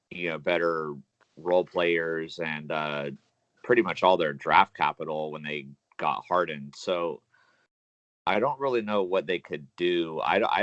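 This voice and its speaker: A man's voice: low-pitched, a bit bright, no echo. A man giving casual sports opinions as a podcast co-host, recorded on a muffled, lo-fi microphone.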